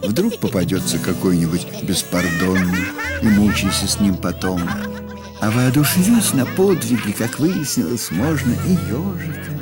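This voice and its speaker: A man reading scripted storybook narration for a cartoon, expressive and wry. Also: on the low side, moderate pace. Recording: studio voice over music.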